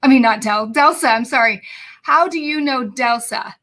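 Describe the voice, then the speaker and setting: A woman's voice: a little high, moderate pace, fairly bright tone. A woman hosting a live podcast over a video call, bubbly and chatty, with many fillers and restarts. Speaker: podcast host. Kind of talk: casual live podcast chat.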